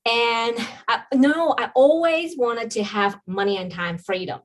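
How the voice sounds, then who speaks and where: A woman's voice: a little high, measured pace. Woman telling her story. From China. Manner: earnest, reflective storytelling with frequent ums. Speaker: podcast guest, business coach. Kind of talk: personal story in a podcast interview.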